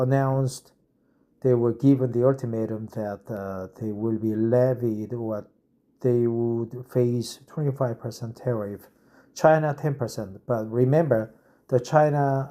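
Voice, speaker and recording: A man's voice: mid-range voice, dark tone. A man in his fifties from South Korea, a non-native English speaker with a Korean accent, reading an article aloud and explaining it with frequent "uh" fillers and "right?" tags. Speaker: English-practice reader and explainer.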